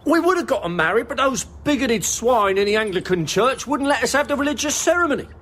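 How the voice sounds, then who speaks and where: An actor playing a lovelorn, stubborn shopkeeper in a radio comedy, pleading with absurd, pedantic earnestness. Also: male voice, very high voice, animated, a little fast, a bit bright, heavily acted. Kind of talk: British radio comedy acting.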